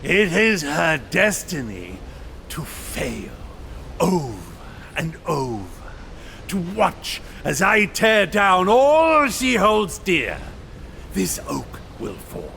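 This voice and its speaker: A man's voice: on the high side, huge pitch swings, takes it slowly, gravelly, sharp tone, slight background noise, full character voice. A man voicing a vengeful undead knight spirit in a game, arrogant, menacing and grandiose in delivery. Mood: scornful boasting. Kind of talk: video game cutscene acting.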